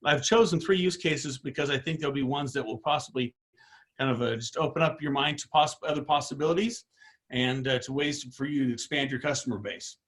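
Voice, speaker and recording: Informal middle-aged man, slightly hoarse. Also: a little high, a bit bright. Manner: informal, rambling with frequent fillers and restarts. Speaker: product trainer. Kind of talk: online training webinar.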